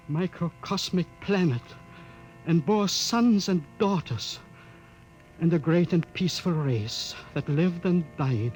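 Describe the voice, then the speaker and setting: An actor in a 1950s radio drama playing an aged scientist, explaining slowly and heavily. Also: male voice, fairly high pitch, a little mellow, low-quality recording. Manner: slow, heavy, explanatory. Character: aged male scientist, grieving and awed. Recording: old radio broadcast with faint hum.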